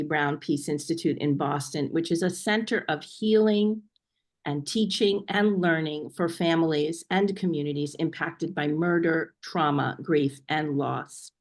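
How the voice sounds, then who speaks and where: A woman hosting a video webinar, introducing a guest with frequent ums as she reads a bio. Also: deep voice. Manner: hesitant, many ums while reading a bio. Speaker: webinar host. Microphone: video call.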